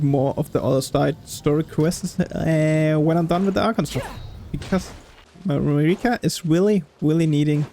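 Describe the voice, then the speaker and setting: A man's voice: a little high, vocal fry, darkish tone. A young man doing casual, rambling gameplay commentary, thinking aloud in an informal way. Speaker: video game let's-play host. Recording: game music behind commentary.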